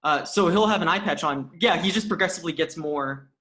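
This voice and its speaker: An actor with a middle-range voice talks casually with castmates on a video call. The speech is informal, like a relaxed planning discussion. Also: medium pitch, brisk. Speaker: actor in the cast. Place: remote video call at home. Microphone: video call microphone.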